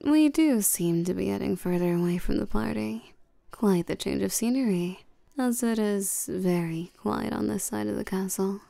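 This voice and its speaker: A woman playing a regal, seductive and domineering vampire queen in a scripted roleplay audio drama, coaxing and commanding the listener with soft menace. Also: mid-range voice, normal speed, gravelly, no echo. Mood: smooth, probing.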